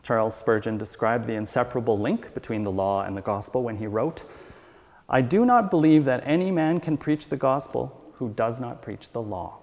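A middle-aged man reading a written sermon aloud as a church elder, in a measured, earnest manner. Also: medium pitch, lively intonation, darkish tone. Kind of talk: read sermon. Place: church sanctuary at a wooden pulpit, indoors. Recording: reverberant church hall.

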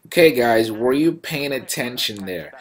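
A man's voice: mid-range voice, expressive, a little slow. A young man speaks as a host with a mid-range voice. He explains things in a casual, conversational way, talking straight to the camera in a small room at home. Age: young adult. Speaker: host, pilot.

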